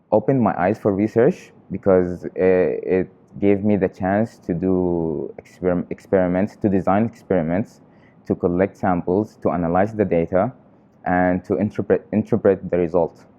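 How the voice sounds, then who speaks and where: A young man from Qatar, a research trainee, answering interview questions in an earnest, halting way with frequent pauses. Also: low-pitched, phone-quality sound. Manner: earnest, halting, often pausing on fillers.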